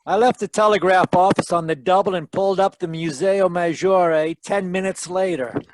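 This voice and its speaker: A man's voice: high voice, moderate pace, no echo, natural voice, rough recording. A man playing a wisecracking hard-boiled detective who narrates in 1940s radio mystery style, wry and slangy. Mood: wry narrating. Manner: wry, slangy, worldly-wise. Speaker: actor in a radio mystery performance. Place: home, live video call performance.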